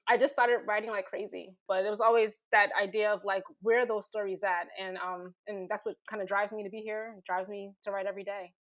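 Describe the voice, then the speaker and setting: A woman with a slightly high voice speaks as a panelist. She tells her own story conversationally, dropping in quoted exchanges. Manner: conversational, quotes dialogue with frequent "like". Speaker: panelist, writer and former chemical engineer.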